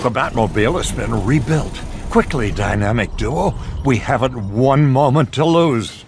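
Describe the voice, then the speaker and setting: An actor playing a grandiose, theatrical narrator of a campy 1960s superhero show in a video game. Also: male voice, mid-range voice, lively intonation, a little slow, vocal fry, background noise. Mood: excited, urgent. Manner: theatrical, dramatic, alliterative.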